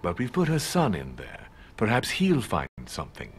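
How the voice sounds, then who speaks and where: A powerful gentleman host in a game drama. His lowish voice is gracious and authoritative, with lively inflection and a calculating composure, over faint music. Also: moderate pace. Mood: calm, calculating. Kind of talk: dramatic video game voice acting.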